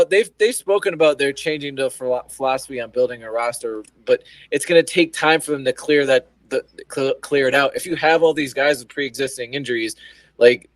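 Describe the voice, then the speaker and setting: Regular guy explaining stuff on a sports panel, circles back on his point, medium pitch, very dry sound. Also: no room sound, rough recording. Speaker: fan panelist. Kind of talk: casual sports discussion.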